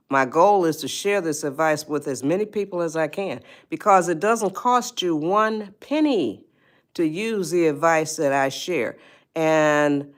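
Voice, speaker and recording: A woman in her eighties hosting a live stream, talking to viewers in a chatty, folksy way and calling them y'all. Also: lowish voice. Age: 80s. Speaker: livestream host. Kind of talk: casual livestream talk.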